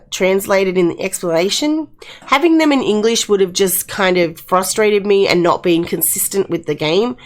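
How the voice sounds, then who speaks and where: A woman with a slightly croaky voice giving casual, chatty commentary as she reviews and plays video games. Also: lowish voice. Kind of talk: casual game review and let's-play commentary. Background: faint room ambience, wind at windows.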